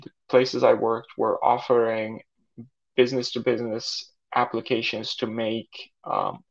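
A young man whose first language is Turkish, answering podcast questions in a halting way, with many fillers and restarts. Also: medium pitch, gravelly, muffled recording. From Saudi Arabia. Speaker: guest, startup founder.

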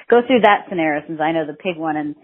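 A woman hosting a casual interview podcast, teasing and laughing often. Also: low voice. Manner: giggly, teasing. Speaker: podcast host. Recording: tinny phone-line sound.

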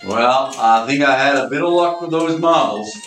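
An amateur actor playing a blunt, offhand supernatural detective in a community radio play, recorded in an echoey room. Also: male voice, medium pitch, moderate pace, fairly bright tone, soft background music, lightly acted. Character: gruff hard-boiled male supernatural detective.

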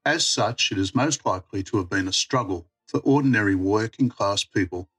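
A man's voice: on the low side, normal speed, a bit bright, slightly rough, soft background music. A man reading a prepared religious reflection on scripture. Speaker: church mission worker giving a reflection.

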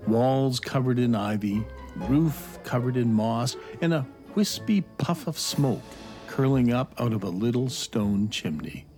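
A man narrating a children's fantasy story as a measured storyteller. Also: mid-range voice, animated, darkish tone, faint music behind, lightly acted. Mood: measured, descriptive.

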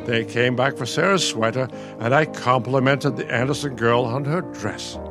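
An actor playing a patient, nostalgic older husband in a radio play, gentle and reflective. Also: male voice, medium pitch, normal speed, over music, close to an everyday voice. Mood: wistful. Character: patient, nostalgic elderly husband, soothing and wistful.

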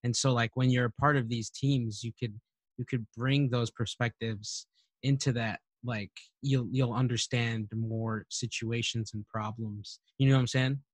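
A man's voice: mid-range voice, fairly even intonation, a little slow, dry recording. A young man interviewing a friend, asking friendly, rambling questions and saying "dude" and "man". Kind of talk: casual interview.

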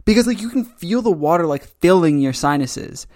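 A fairly high-voiced man hosts a podcast, teasing his co-host and joking in an animated, loose way. His voice sounds dry and close-miked. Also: normal speed, no echo. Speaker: podcast host, comic creator. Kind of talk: casual chat.

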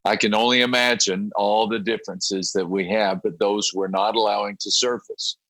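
Man, pastor, raspy voice, halting speech, bright tone, dry sound. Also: on the low side, fairly even intonation, no room sound, poor audio. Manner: halting, repeats words and clears throat often. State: raspy voice after a late night. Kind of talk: conversational interview about a prayer group.

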